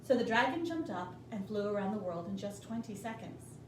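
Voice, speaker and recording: A woman reading a bedtime story to children as an expressive narrator. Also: medium pitch, a little fast. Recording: roomy, distant, noisy home recording.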